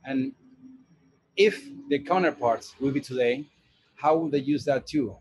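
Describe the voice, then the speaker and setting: A man's voice: medium pitch, measured pace, darkish tone, a little hiss or hum, rough recording. Argentine-born man in his forties, thoughtful. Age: 40s. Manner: thoughtful, hesitant, many restarts. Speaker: animation director and teacher. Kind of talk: casual interview.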